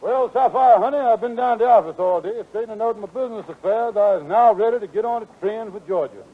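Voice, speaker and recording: A man's voice: high-pitched, fast, slight background noise, natural voice. An actor playing a blustery small-time con man in a 1940s radio comedy, folksy and cajoling. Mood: cheerful bluffing. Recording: tinny, narrow 1940s radio recording.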